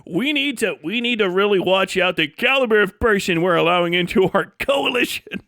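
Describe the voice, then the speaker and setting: A man's voice: high-pitched, expressive, a little fast, a bit bright, no echo, studio quality. A man doing casual livestream commentary, ranting in a profane, sarcastic and exasperated way. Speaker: livestream host reacting to other streamers.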